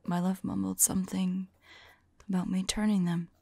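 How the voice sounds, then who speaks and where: A woman playing a weary, devoted vampire lover in an ASMR audio roleplay, speaking softly and haltingly in a breathy, whispery voice. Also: mid-range voice, level tone. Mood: sorrowful. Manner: gentle, halting, hushed delivery. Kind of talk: ASMR audio roleplay, soft intimate acting.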